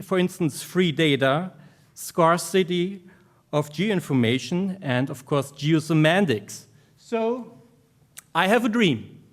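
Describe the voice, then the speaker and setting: The speaker is a man with a German accent and a voice pitched a little above average. He presents academic research to a conference in an informal way, with phrases that halt and restart as he goes.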